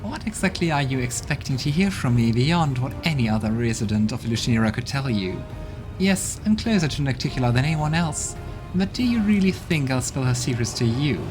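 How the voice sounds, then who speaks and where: A man's voice: medium pitch, animated, fairly quick, lightly acted. A man voicing a proud, scornful female demon ruler from a role-playing game, speaking in a smug and venomous way. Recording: game music playing behind.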